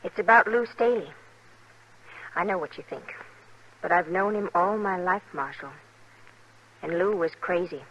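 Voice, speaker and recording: A woman's voice: fairly low pitch, unhurried, long pauses, fairly bright tone. A young American frontier woman, earnest and troubled, speaking in a confiding way. The style is 1950s radio western drama, heard through a muffled old recording. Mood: confessional, troubled. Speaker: radio actress.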